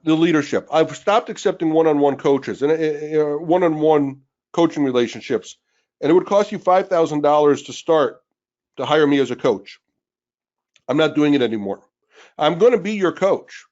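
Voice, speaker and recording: A man co-hosting a webinar who is losing his voice, pushing a sales pitch and calling out names to rally listeners. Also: moderate pace, a little mellow. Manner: pushy, rallying, calls out names. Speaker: webinar co-host. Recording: dull lo-fi webinar audio.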